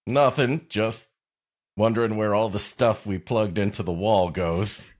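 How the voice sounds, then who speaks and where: Joking low-voiced male co-host. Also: steady tone, a little slow. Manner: joking, laughs often, swears casually. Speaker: podcast co-host. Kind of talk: casual comedic banter.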